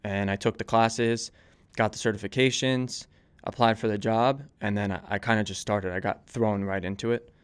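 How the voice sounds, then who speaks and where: Smooth, low-voiced young man chatting. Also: steady tone, normal speed. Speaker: podcast guest, volunteer firefighter and police dispatcher. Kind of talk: casual conversational interview answers.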